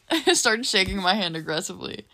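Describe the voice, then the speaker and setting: A teenage girl with a deep voice, co-hosting a casual chat and talking frankly about her job in a relaxed, rambling way. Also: moderate pace. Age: teens. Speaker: podcast co-host, drive-thru worker.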